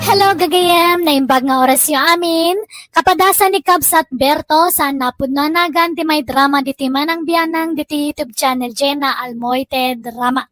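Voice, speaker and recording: Filipino woman, drama host and narrator, extremely high voice, scripted delivery, music underneath. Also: normal speed, fairly bright tone.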